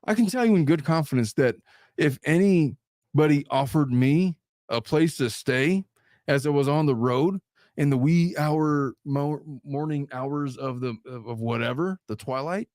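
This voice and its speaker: A man's voice: fairly high pitch, unhurried, a little mellow, no room sound, studio quality. A middle-aged man hosting a casual livestream podcast, blunt and profane, reading chat comments aloud. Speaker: podcast host.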